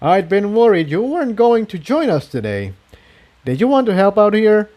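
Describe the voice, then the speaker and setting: A friendly unicorn stallion from an adventure tale, played by a man speaks in a raised, lively voice. He sounds welcoming and busy. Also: high voice, moderate pace, voice pushed up. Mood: cheerful.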